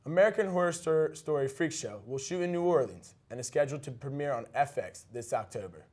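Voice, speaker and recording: A man's voice: medium pitch, lively intonation, brisk, a little mellow. A man co-anchoring a news segment, reading scripted stories with brief casual remarks and occasionally stumbling over a word.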